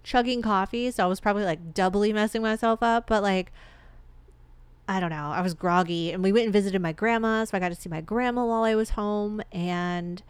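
Congested woman, smooth chatty podcast talk. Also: mid-range voice, a little fast, no room sound. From Michigan. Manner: chatty, rambling storyteller. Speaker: podcast co-host. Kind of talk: casual chat.

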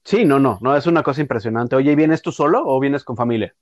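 A man in his late thirties with a Mexican accent, hosting a relaxed interview podcast in a chatty, slangy way. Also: mid-range voice, fast, no room sound, dull audio. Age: late 30s. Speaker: podcast host. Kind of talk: casual interview chat.

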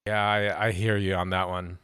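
A man hosting a conversational interview, speaking in a measured, thoughtful way. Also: low voice, level tone, a bit bright, clear, resonant tone. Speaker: interviewer, host.

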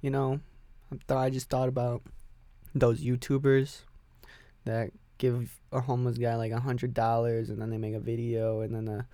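A man's voice: medium pitch, completely flat delivery, normal speed, dark tone, no echo. Rambling, profane man with a dry voice. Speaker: podcast host. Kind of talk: casual solo podcast rant.

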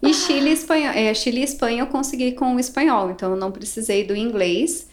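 A Brazilian woman, an English teacher, telling travel stories in a casual podcast chat, animated and acting out quoted voices. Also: a little high, fast. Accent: Brazilian Portuguese. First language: Portuguese.

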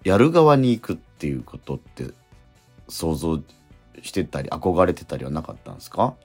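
A middle-aged man hosting a radio show in casual, animated banter. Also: extremely low pitch, moderate pace, breathy edge, soft background music. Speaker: radio show host and stage actor.

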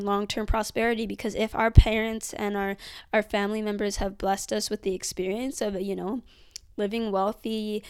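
An eighteen-year-old woman chats earnestly to camera in a casual vlog. Her voice is smooth and clear at a middle pitch, and she fills her sentences with ums and likes as she thinks aloud. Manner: chatty, filler-heavy, earnest. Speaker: vlogger. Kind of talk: casual reflective monologue.

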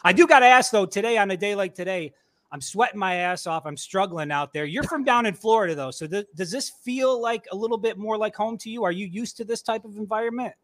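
A man co-hosting a sports podcast in a loose, jokey way. His voice sits high for a man, and he laughs easily and swears casually as he asks questions. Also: fairly quick, fairly bright tone. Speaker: podcast co-host.

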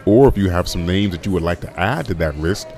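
A young man with a very deep, low voice hosts a casual podcast on his own. He rambles and restarts his sentences often, thinking out loud. Faint music plays softly behind him. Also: animated, brisk. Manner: rambling, full of restarts and fillers. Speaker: podcast host. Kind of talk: casual solo podcast talk.

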